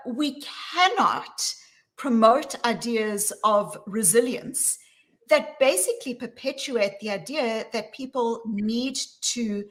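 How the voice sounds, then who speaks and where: A woman with a South African accent, answering interview questions in an earnest, measured way. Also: fairly high pitch, a bit bright. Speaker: guest, psychologist.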